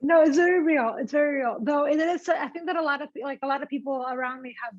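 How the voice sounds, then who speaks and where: A woman's voice: high voice, quick pace, dry recording. A woman with a light Greek accent answering an interview question hesitantly, searching for words. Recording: dry, slightly muffled.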